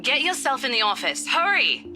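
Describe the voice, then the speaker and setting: An actress playing a bossy, brisk young female office colleague in a visual novel game. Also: high-pitched, big pitch swings, over music. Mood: exasperated, urgent.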